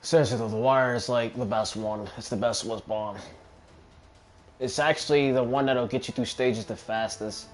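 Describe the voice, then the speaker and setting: A man's voice: medium pitch, fairly even intonation, moderate pace. A man giving casual, rambling gameplay commentary and swearing freely. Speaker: gamer commentating on own play. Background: video game music.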